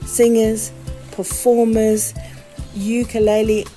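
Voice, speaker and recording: A woman hosts a podcast interview over a video call, her voice sitting a little high and sounding soft and mellow rather than bright. She talks effusively, heaping praise on her guest, with faint music playing behind her. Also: flat delivery, slow pace. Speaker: podcast host.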